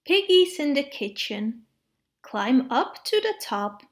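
A woman narrates a storybook for preschoolers in a high-pitched voice. Her delivery is very slow and measured, with lively, expressive swings in pitch. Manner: expressive, measured phrasing with playful sound words. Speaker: read-aloud narrator of children's picture books. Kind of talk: storybook read-aloud for preschoolers.

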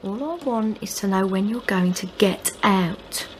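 An actress playing a defiant, sharp-tongued young working-class woman with a London accent in a radio drama, curt and sarcastic. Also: mid-range voice, measured pace, lightly acted. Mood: defiant, wary. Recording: clear background noise and ambience.

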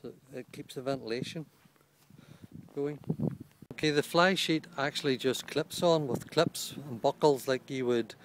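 Man, plain mid-pitched voice, matter-of-fact gear reviewer outdoors, handheld camera mic. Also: unhurried, background noise. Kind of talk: casual product walkthrough. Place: outdoors on a lawn beside a small tent. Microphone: handheld camera held by the speaker.

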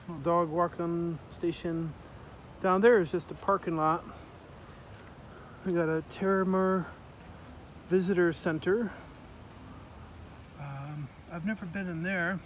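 A man's voice: a little high, fairly even intonation, unhurried, pauses often. A man casually narrating a walk through a sunny park, pointing things out with lots of fillers, filmed on a handheld phone outdoors. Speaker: vlogger filming a park walk. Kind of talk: casual walking tour narration. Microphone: handheld phone camera. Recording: outdoor phone audio with loud background noise.

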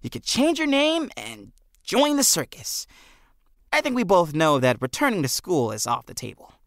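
A man's voice: sits high, wildly swooping pitch, moderate pace, dry recording, studio quality, voice pushed up, exaggerated voice. Man as a droll, mock-formal teenage boy. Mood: dryly reasoning. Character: droll teenage boy, pompous wheeler-dealer friend.

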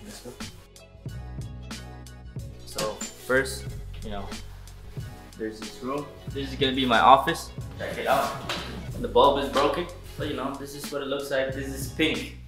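A man's voice: medium pitch, fairly even intonation, a little slow, long pauses, over music. A young man in his twenties giving a casual, laid-back vlog tour of an empty basement suite, filmed on a handheld camera at arm's length. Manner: laid-back, chatty, talks to the viewers. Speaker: vlogger.

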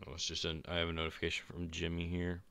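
A young man with a very deep voice, chatting casually and rambling while streaming a game. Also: fast talker, gravelly, a little hiss or hum. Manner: relaxed, rambling, laid-back. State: sore chest and ankle. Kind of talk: casual gaming chat. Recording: streamed voice chat, faint music behind.

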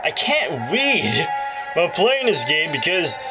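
A man records a casual gaming commentary with very lively, swinging intonation. He chats to his viewers and grumbles playfully as he plays. Game music from a handheld console plays behind him. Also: fairly high pitch, slow pace. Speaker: gaming video creator. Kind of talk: casual let's play commentary. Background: loud game music and audio.

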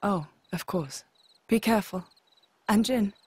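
Kindly young female fighter, game cutscene. Reassuring, slightly husky, takes her time. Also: medium pitch, measured pace, no room sound. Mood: wry, friendly. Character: kindly young woman warrior, gentle and reassuring. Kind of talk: video game cutscene acting.

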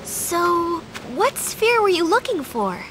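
An actress playing a sweet, gentle young heroine in a video game, speaking in an encouraging way. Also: female voice, very high-pitched, big pitch swings, slow, faint music behind, exaggerated voice, poor audio. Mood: curious.

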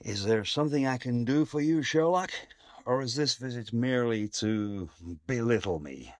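A man's voice: medium pitch, animated, moderate pace, no echo, close to an everyday voice. Wry private eye, dry close sound, played by a man. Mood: irritated. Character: exasperated, wry male private investigator. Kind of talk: audio play acting.

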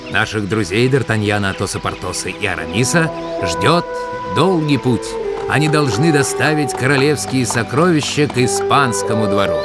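Male cartoon narrator over loud music. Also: fairly low pitch. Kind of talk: scripted cartoon storytelling narration.

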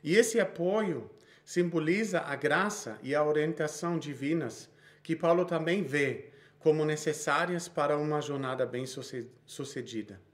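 A man's voice: on the high side, unhurried. A man in his thirties with a Brazilian Portuguese accent, preaching a sermon he reads from notes, with frequent small restarts.

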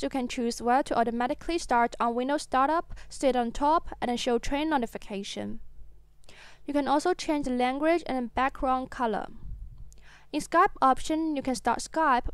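A woman reading a scripted voice-over as the narrator of a software tutorial. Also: high-pitched, clean tone, no room sound.

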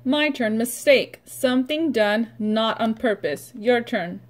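A woman teaches young children in a read-aloud and vocabulary lesson. She goes very slowly, using short, simple phrases and leaving pauses. The lesson sounds replayed from a computer. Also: fairly high pitch. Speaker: preschool teacher.

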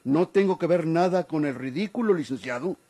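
A man's voice: on the high side, lively intonation, brisk, warm tone, slightly rough. An older Mexican man acting in a golden-age radio drama as a proud retired policeman, formal and deferential yet stubbornly insistent. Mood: aggrieved, insistent. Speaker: actor.

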